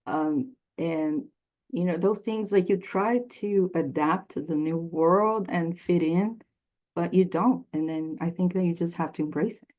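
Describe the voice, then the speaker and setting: Woman from peru, heartfelt, low voice, dull lo-fi audio. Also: unhurried. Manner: heartfelt, personal. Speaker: panelist, engineer. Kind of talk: casual panel talk. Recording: dull lo-fi sound.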